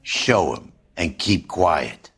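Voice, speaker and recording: An actor playing a gruff, intimidating police detective, curt and knowing, in a crime drama video game. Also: male voice, low-pitched, lively intonation, takes it slowly, fairly bright tone, heavily acted. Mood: menacing. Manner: curt, menacing.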